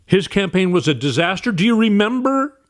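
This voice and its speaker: A man gives casual political commentary in a fairly high voice. He talks in an animated, emphatic way and sounds exasperated. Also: brisk.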